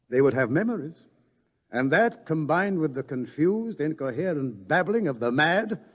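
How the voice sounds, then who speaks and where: A sardonic, world-weary scientist in an old-style radio drama. His voice is on the high side and moves widely in pitch, wry and theatrical. A long reverb hangs around it. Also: muffled recording. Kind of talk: mid-century radio drama acting.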